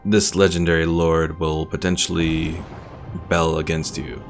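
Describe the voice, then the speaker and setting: A man's voice: very deep voice, flat delivery, measured pace, faint music behind. A man streaming a strategy game, casually explaining its mechanics as he plays. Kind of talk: casual gameplay commentary.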